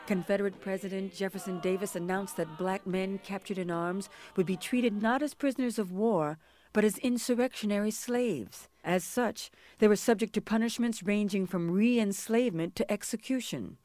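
A woman narrating a scripted historical piece in a measured, formal way. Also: medium pitch, darkish tone, no room sound.

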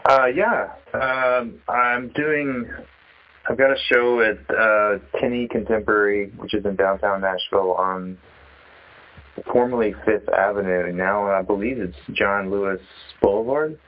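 A man with a fairly low voice speaks casually over a muffled phone connection. He is an artist being interviewed, feeling his way through his thoughts with halting, reflective phrasing. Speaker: interviewee, sculptor. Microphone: telephone. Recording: dull, band-limited phone call.

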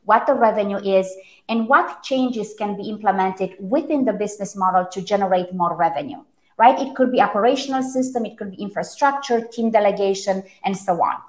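A woman's voice: medium pitch, a little fast. A woman from Romania with a Romanian accent, a native Romanian speaker, giving articulate, emphatic interview answers about her own work. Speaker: interview guest, brand strategist. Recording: echoey room.

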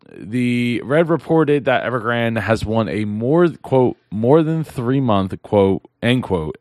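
Hoarse man rambling through business news. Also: fairly low pitch, no echo, studio quality. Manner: rambling, many restarts and fillers. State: losing his voice. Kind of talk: casual news commentary. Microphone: close mic.

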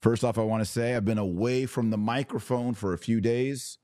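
A man with a slightly raspy voice, worn from hours of talking, hosting a casual religious commentary podcast in short, emphatic phrases. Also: mid-range voice, fairly even intonation, normal speed, warm tone, no echo, clean studio sound. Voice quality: a little raspy. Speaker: podcast host.

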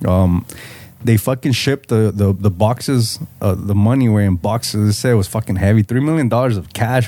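A man speaking, recorded dry and close. His pitch sits in an ordinary male range. He talks like a podcast co-host telling stories to friends, full of swearing and bits of Spanish. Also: warm tone, no echo. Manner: slangy, heavy swearing, mixes in Spanish words. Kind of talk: casual chat, storytelling.

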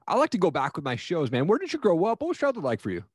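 A man's voice: a little high, huge pitch swings, racing, breathy edge, dry recording, studio quality. An American man hosting a casual interview in an informal, encouraging, buddy-like way. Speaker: podcast host, interviewer.

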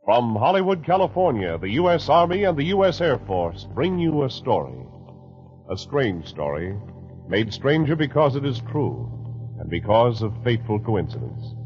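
A man's voice: mid-range voice, sing-song, normal speed, dull audio, faint music behind. A man announcing in scripted 1940s radio style on an old broadcast.

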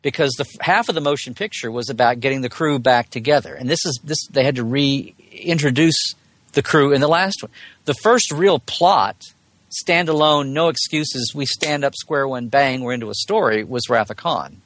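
A man's voice: medium pitch, moderate pace, fairly bright tone, dry recording. A man in a casual fan discussion as a podcast guest, talking in a digressive, colloquial way and often rephrasing mid-thought. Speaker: podcast guest, Star Trek blogger.

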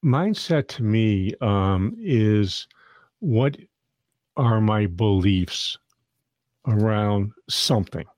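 A man's voice: lowish voice, animated, extremely slow, a little mellow, no room sound, studio quality. A man hosting a podcast interview, talking in a friendly, rambling way and often restarting his sentences. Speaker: podcast host.

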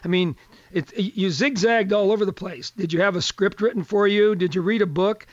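An older man hosting a radio talk show in a chatty, folksy manner, his voice a little hoarse. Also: sits high, moderate pace, dry recording, dull audio. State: slightly hoarse.

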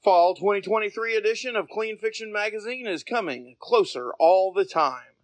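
A man's voice: sits high, normal speed, dry recording. A man hosting a podcast, delivering introductions and announcements in a scripted style. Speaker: podcast host. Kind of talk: scripted podcast narration and interviewing.

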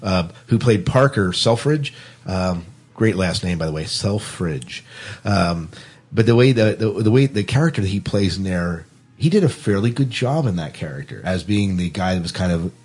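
A man's voice: deep voice, animated, normal speed, darkish tone, slightly rough. A man hosting a podcast, casually discussing a film and often repeating phrases as he works out his point. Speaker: podcast host.

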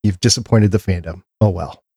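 A man's voice: deep voice, fairly quick, mellow, slightly rough, dry recording, studio quality. A man hosting a podcast in casual, conversational panel chat. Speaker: podcast host.

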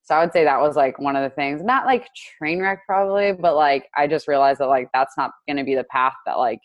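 Low-voiced chatty young woman. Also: a little fast, no room sound, dull audio. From United States, Indiana. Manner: chatty, lots of "like" fillers, laughs often. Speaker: guest, digital marketer. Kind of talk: casual interview chat.

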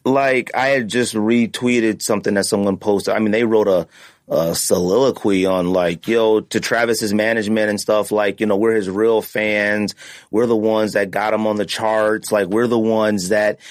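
A man's voice: lowish voice, fairly even intonation, moderate pace, no echo, clean studio sound. A man hosting a podcast in casual chat, rambling and peppered with filler phrases. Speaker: podcast host.

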